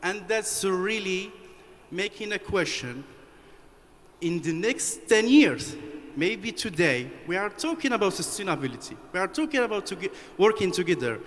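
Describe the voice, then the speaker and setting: An earnest man from Morocco speaks up from the floor of a conference hall, his voice a little high for a man. He talks haltingly and repeats words to press his point. The long reverb of the hall trails after each phrase, with a faint hum of room noise underneath. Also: big pitch swings, fairly bright tone. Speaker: audience member, researcher. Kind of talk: impromptu speech from the floor.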